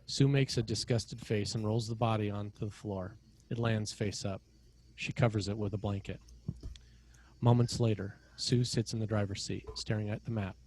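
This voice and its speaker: A man narrating screenplay action and scene headings in a measured, dramatic way, in short phrases. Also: fairly low pitch, fairly even intonation, a little mellow, slight background noise.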